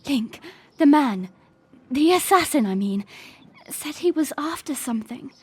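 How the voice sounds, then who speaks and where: A woman's voice: high voice, lively intonation, a little slow, a little mellow, no room sound. A woman playing a worried, earnest young princess in a fan audio drama, hesitant and anxious.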